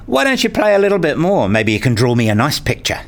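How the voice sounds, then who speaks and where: A man's voice: fairly high pitch, very animated, fast. A man playing a weary, exasperated husband and father in a radio play, narrating and reasoning in a placating way. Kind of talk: radio play dialogue and first-person narration.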